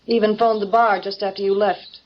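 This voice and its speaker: An actress playing a scheming, two-faced wife in an old-time radio melodrama, in short dramatic phrases. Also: female voice, mid-range voice, flat delivery, fairly quick, natural voice. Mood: cold, conspiratorial. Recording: dull, noisy vintage radio recording.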